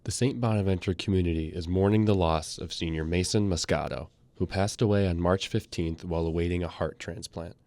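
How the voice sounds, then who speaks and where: A man's voice: low-pitched, fairly even intonation, fairly quick, few pauses, a little mellow. A young man narrating scripted news packages as a student TV reporter. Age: young adult.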